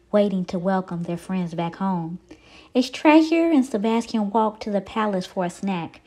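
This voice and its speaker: A woman reading a picture storybook aloud to young children, with theatrical, drawn-out emphasis. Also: medium pitch, warm tone.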